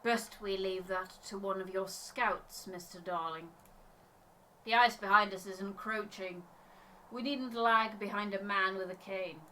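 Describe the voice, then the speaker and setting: A woman's voice: mid-range voice, fairly even intonation, unhurried, sharp tone, a little hiss or hum, poor audio. A woman voicing a stern, cautious expedition officer with a clipped, formal, disapproving manner, in a story game. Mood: stern impatience.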